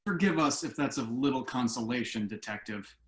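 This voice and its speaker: An actor playing a dry, wry and somewhat discouraged adult son and husband in a table read. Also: male voice, medium pitch, fairly quick, lightly acted. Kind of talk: stage comedy-drama table read. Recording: echoey room on online call.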